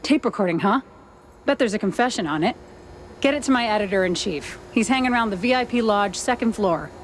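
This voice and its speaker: A woman's voice: medium pitch, animated, brisk, a little hiss or hum, lightly acted. A woman playing a sassy, jaded 1930s newspaper reporter mouse in a noir cartoon game. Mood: teasing. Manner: wry, world-weary.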